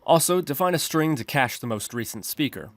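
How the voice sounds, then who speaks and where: Male tutorial narrator, mid-pitched, methodical step-by-step delivery, music underneath. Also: expressive, a little fast, dry recording. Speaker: programming tutorial host. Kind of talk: instructional screencast narration. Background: background music.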